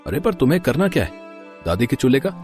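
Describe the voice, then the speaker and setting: A man's voice: medium pitch, very animated, fast, a little mellow, faint music behind, lightly acted. A man playing an easygoing young husband in a cartoon family story, teasing yet cautious. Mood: cautious doubt.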